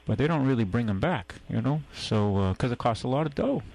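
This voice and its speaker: A man with a New England accent rambles through a casual radio chat about used cars. His voice is gravelly, and he keeps circling back with fillers and restarts. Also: medium pitch, lively intonation, brisk, a little mellow, low-quality recording. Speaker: radio show host, car dealer.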